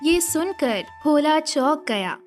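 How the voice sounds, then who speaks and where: A woman narrating an animated children's story as a scripted storyteller. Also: high voice, slow. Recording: music behind the voice.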